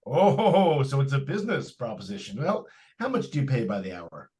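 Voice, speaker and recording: An old-time radio family dad, acted by a man, indulgent yet firm. His pitch swoops widely through hesitant, repeated phrases, and he talks down to his listener in a patronizing way. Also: wildly swooping pitch, normal speed, warm tone. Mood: indulgent, amused. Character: distracted, indulgent but firm family father. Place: live stage radio-play performance.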